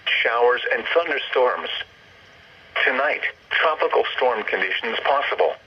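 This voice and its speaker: A man's voice: mid-range voice, expressive, a little slow, husky. A synthetic male voice reading a scripted weather bulletin over the radio in a formal, impersonal, list-like way. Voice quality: synthetic text-to-speech voice. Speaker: automated weather radio announcer. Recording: dull lo-fi radio broadcast, faint noise.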